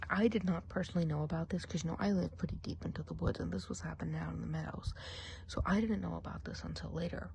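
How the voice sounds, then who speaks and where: A woman plays a chatty witch of the woods, trading gossip in a low, gravelly hush that drifts between soft talk and whispers. Her tone is mellow and dark, with a faint hiss of noise behind her in this ASMR roleplay.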